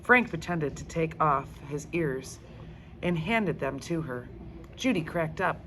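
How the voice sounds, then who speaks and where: Expressive, slow-paced woman reading aloud. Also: sits low, rough recording. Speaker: read-aloud storyteller. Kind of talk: expressive children's book narration. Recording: faint background noise.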